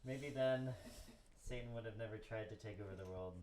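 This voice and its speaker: A man's voice: lowish voice, a little fast, darkish tone, lightly acted. A man playing a doomed, regretful mutant scientist, grave and mock-solemn, in an amateur comedic radio play. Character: doomed, regretful male scientist turned kangaroo cyborg. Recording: roomy, distant, faint noise and clicks.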